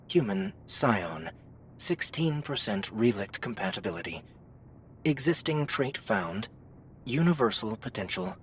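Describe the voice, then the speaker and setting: Game system voice for LitRPG audiobook. Deliberate, slow, impersonal announcing, faint rumbling noise. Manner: clipped, formal, deliberate announcing.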